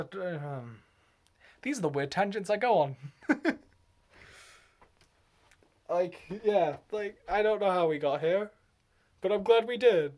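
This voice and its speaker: Rambling man sliding between silly voices. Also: fairly high pitch. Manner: slips in and out of put-on voices and accents, laughs often. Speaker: vlogger. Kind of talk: rambling solo vlog.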